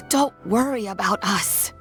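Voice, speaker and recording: A kindly old grandmother acted by a woman speaks slowly and calmly. Her voice sits at a middle pitch, with gentle advice in anime game style. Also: music in the background, close to an everyday voice. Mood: gently advising. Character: gentle old granny, calm and advising.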